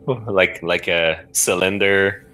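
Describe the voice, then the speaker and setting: A man with a very low voice plays an improvised adventure game. He talks casually, working out his moves aloud in halting, tentative bits. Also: fairly even intonation, bright, forceful tone, a little hiss or hum, rough recording. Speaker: guest player in a story game. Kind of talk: casual chat while playing a game.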